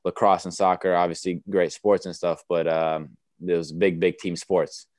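A young man from the United States whose first language is Romanian, answering interview questions in a relaxed way, with many fillers and restarts. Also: low-pitched, normal speed, no room sound, studio quality. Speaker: tennis player guest.

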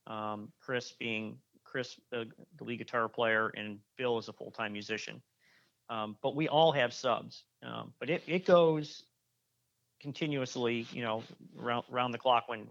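Male musician guest, mid pitch, chatty and rambling, dull lo-fi recording. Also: fairly even intonation, moderate pace, gravelly, a bit bright. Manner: rambling, many restarts and fillers. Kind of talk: casual interview chat.

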